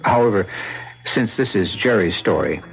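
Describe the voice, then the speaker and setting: A man narrating as host of a 1970s radio mystery series, with a measured, dramatic storytelling delivery. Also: on the low side, lively intonation, gravelly, noisy background. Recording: muffled vintage radio broadcast, music underneath.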